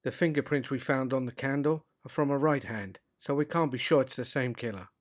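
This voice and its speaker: Unassuming male fingerprint expert actor, audio drama, factual delivery, mid pitch, dry sound. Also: a little fast, no echo, close to an everyday voice. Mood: matter-of-fact. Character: plain-spoken male forensic fingerprint expert.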